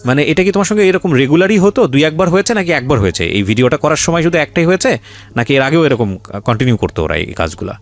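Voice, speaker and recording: A man's voice: on the high side, sing-song, quick pace, faint music behind. A man with a Bangladeshi accent hosting an interview, asking probing questions in a directive way and mixing in English words. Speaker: show host interviewing guests.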